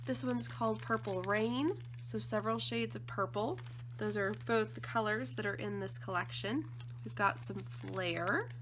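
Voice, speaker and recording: A woman with a slightly croaky voice from allergies, chatting casually and gushing with enthusiasm as she unboxes craft supplies. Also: mid-range voice, measured pace, a bit bright, slight background noise. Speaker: scrapbooking design team member.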